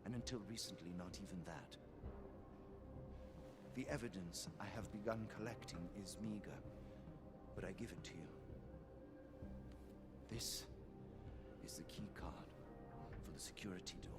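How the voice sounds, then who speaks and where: Game cutscene role: a grave, weary idealist leader, very deep and deliberate, under loud music. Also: male voice. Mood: grave, confiding. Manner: deliberate, solemn, confiding. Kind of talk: dramatic video game cutscene acting.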